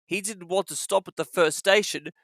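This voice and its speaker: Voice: medium pitch, moderate pace, fairly bright tone, no room sound, clean studio sound. A storyteller reading a scripted children's story as narration.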